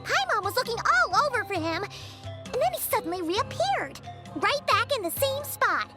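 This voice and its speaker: A tiny fairy sidekick from a video game with an extremely high, squeaky voice. The delivery is childlike and swoops expressively from note to note, full of caring excitement, over prominent background music. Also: female voice, normal speed. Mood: worried, puzzled. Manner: refers to self in third person, expressive.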